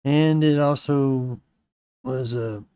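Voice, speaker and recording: Middle-aged male streamer, slightly raspy, casual and rambling; even delivery, close dry mic. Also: medium pitch, slow pace, dry recording. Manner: rambling, with fillers and restarts. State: slightly raspy, clearing his throat. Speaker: host of a live-streamed Linux tutorial. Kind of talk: casual live-stream tech walkthrough.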